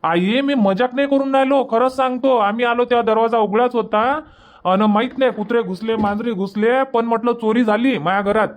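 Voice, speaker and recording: A man's voice: very high voice, steady tone, brisk. A man voicing an exasperated village husband in a cartoon comedy, insistent and repetitive, with a Varhadi Marathi accent. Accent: Varhadi Marathi from Vidarbha.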